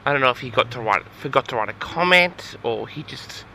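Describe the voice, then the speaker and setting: A man's voice: mid-range voice, expressive, a little fast, vocal fry, bright, forceful tone, a little hiss or hum. A man telling a story casually as host of an audio log, rambling in an awkward, flustered, exasperated way.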